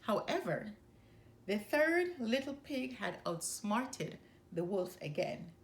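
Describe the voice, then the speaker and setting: A woman narrates a children's story aloud. She takes her time, moving expressively across a wide pitch range, with noticeable creak in the voice. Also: big pitch swings, takes it slowly.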